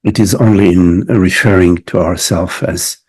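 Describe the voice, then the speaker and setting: A man leading a guided meditation as a teacher, speaking reflectively in short phrases with long pauses between them. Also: deep voice, steady tone, a little mellow, husky.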